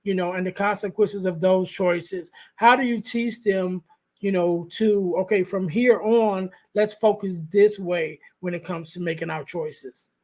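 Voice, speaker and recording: A man with a light voice hosting a podcast interview, talking softly and loosely with lots of fillers. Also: high voice, steady tone, measured pace. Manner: soft-spoken, rambling with many fillers. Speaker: podcast host. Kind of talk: casual interview chat.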